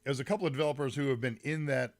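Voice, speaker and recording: A man's voice: medium pitch, steady tone, rapid-fire, no room sound. Man hosting a tech livestream with a cold. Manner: rambling, greets chat viewers by name, frequent fillers. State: slightly deep, congested voice from a summer cold. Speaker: livestream host. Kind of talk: casual live-stream chat with viewers. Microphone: mic set some distance away.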